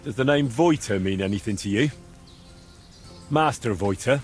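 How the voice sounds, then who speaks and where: An actor playing a calm young man in a medieval game, questioning people in a measured, dryly mocking, insistent way. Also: mid-range voice, faint music behind, natural voice. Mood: probing. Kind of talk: video game dialogue acting.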